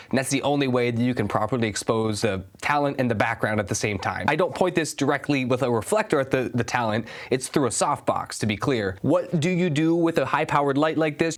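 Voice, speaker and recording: A young man with a stuffy, congested voice, giving a casual, enthusiastic review of a studio light. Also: medium pitch. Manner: casual, enthusiastic about gear. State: stuffy, under the weather. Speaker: gear reviewer.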